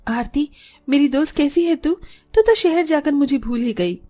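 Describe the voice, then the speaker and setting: A woman playing a caring, soft-spoken young eldest daughter in a Hindi cartoon story, earnest and polite. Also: high-pitched, moderate pace, close to an everyday voice. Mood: welcoming.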